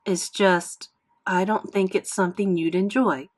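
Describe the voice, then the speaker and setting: A woman narrator plays a mother in a storybook. She sounds measured and restrained at an ordinary female pitch, placating her children as she reasons with them. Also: steady tone, lightly acted.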